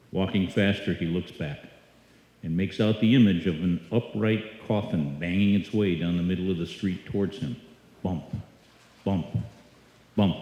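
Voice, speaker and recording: A low-pitched man speaks as a stage host in a reverberant hall. His delivery is droll and deliberate, like a live emcee introducing a show and spinning a tale. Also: warm tone. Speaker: host of a live old-time radio show. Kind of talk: live stage welcome and storytelling. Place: live performance in a hall before an audience. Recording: echoey hall, picked up from the stage.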